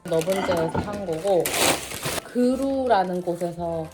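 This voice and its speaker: A woman's voice: on the low side, lively intonation, takes it slowly, very noisy. A woman in her thirties, a mother vlogging in a casual, cheerful way and showing off dishes she bought. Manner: chatty, cheerful, shows off purchases. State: sore throat from a cold. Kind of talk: casual vlog chat and voice-over. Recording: background music.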